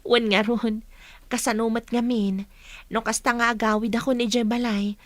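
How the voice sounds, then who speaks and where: A friendly young student played by a woman in a radio drama, with a very high voice. She talks in an encouraging way, like someone helping out her cousins and classmates. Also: level tone, moderate pace, no room sound, close to an everyday voice. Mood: friendly, eager. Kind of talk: Ilocano radio drama acting.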